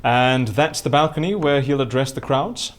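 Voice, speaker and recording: An eccentric captive schemer in an audio drama, played by a man, with a mid-pitched voice. He is fussy and precise, musing aloud as he plots. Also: normal speed, natural voice. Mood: fussy, questioning. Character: imprisoned male mastermind planning fake assassinations, fussy and eccentric.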